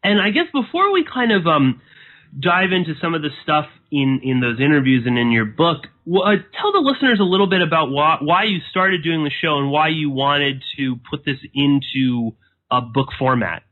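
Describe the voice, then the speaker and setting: A man hosting a podcast interview, talking in a halting way with many fillers and restarts while chewing nicotine gum. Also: fairly high pitch, expressive. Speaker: podcast host. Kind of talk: casual interview intro. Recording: tinny phone-like audio.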